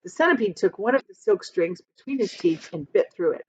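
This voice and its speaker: Lady teacher doing storytime, super expressive up and down reading, bit of a muffled lo-fi recording. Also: medium pitch, a little fast, slight background noise. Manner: expressive, dramatic reading for children. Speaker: teacher reading a children's book aloud. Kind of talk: animated storytime read-aloud with explanatory asides.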